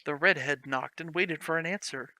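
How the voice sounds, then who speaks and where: A man's voice: a little high, normal speed, pushed and bright, no echo. A young man reading a fanfic aloud as a comedy host, sarcastic and swearing, mocking the text as he goes.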